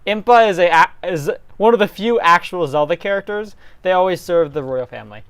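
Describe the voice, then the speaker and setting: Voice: medium pitch, lively intonation, fairly quick, fairly bright tone, clear, resonant tone. A co-host chatting casually and explaining things in an easygoing way while organizing a story reading. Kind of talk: casual chat.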